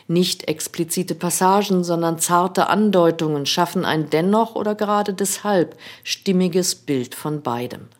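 Woman radio critic, low register, measured scripted read. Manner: calm, measured reading. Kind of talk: scripted radio book review.

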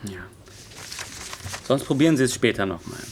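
An actor playing a matter-of-fact police officer in a naturalistic radio drama, speaking in an official, procedural way. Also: male voice, mid-range voice, animated, normal speed, slight background noise, lightly acted. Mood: official, detached.

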